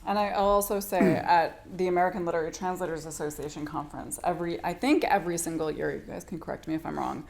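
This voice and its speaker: A woman's voice: fairly low pitch, fairly even intonation, fast, vocal fry, hardly any pauses, a little mellow, slight background noise. A woman speaking on a panel in a chatty, easy way. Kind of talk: panel discussion.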